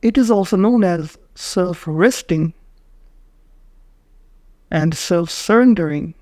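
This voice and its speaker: A man narrating a guided meditation in short, measured phrases with frequent pauses. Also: high voice, mellow, no room sound.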